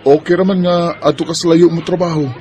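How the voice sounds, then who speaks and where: A man's voice: fairly high pitch, steady tone, brisk, background noise, natural voice. A man acting an earnest, possessive young boyfriend in a Filipino radio drama. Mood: probing.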